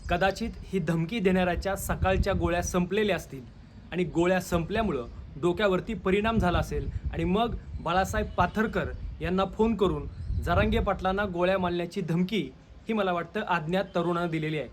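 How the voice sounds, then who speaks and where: A man with a high-set voice and a Maharashtrian Marathi accent gives opinion commentary to his audience. His delivery is rhetorical and confrontational. Slight background noise sits under the recording. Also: brisk. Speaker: video commentator, channel host. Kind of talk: opinion commentary addressed to viewers.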